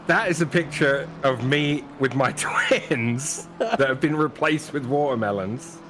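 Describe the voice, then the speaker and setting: A man commentating a live sim race with relaxed, self-deprecating banter. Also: medium pitch, a little slow, background noise.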